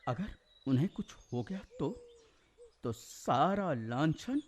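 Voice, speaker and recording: An actor playing an authoritative but anxious royal chief minister in a Hindi historical radio drama, grave and formal. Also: male voice, a little high, animated, slow, slight background noise. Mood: wary, questioning.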